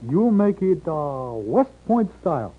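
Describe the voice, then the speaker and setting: A polite, eager hotel porter in an old radio play, high in pitch and very animated. He speaks halting, flustered English with a Chinese accent, through a tinny vintage recording. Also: heavily acted. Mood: fussy, eager. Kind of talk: 1950s radio acting.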